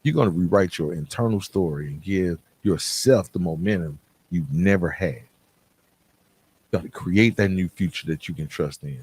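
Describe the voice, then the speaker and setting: A man's voice: sits low, a little mellow, breathy edge. A man hosting a podcast, speaking in an emphatic, plain-spoken motivational style straight to the listener. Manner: emphatic, repeats and contrasts phrases, plain-spoken. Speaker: podcast host. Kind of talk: motivational monologue addressed to listeners.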